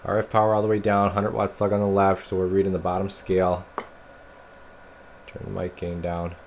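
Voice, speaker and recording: A man's voice: sits low, fairly quick. An American man giving a casual hands-on demonstration as a radio technician. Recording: steady noise behind the voice. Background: clear background noise.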